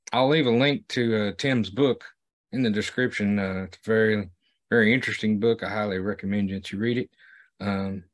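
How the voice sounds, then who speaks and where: A middle-aged man talking to camera as a vlog host, in a halting, plain-spoken way. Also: fairly low pitch. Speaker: video series host and explorer.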